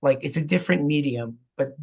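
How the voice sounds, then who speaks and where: Higher-pitched man chatting informally. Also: brisk, gravelly, slightly rough. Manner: informal, encouraging. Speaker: theatre maker and podcast guest. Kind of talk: casual interview chat.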